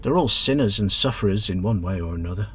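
An actor playing a chatty, good-hearted but easily exasperated British taxi driver in a radio drama. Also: male voice, fairly low pitch, animated, fast, a bit bright, slightly rough. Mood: kindly, coaxing. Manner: chatty, colloquial, calls passenger love.

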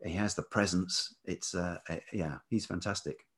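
Interview guest: middle-aged male, low-pitched and velvety with some rasp, reflective and halting in delivery. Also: steady tone. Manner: hesitant, piecing thoughts together. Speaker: podcast guest, writer and producer. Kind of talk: reflective anecdotal interview talk.

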